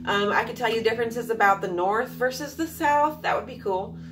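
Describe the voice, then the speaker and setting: A woman's voice: fairly high pitch, moderate pace, fairly bright tone, recorded from a distance, slight background noise. A young woman from Alaska chatting casually to camera at home, rambling with many fillers. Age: young adult. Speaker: vlogger. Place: small room at home, indoors.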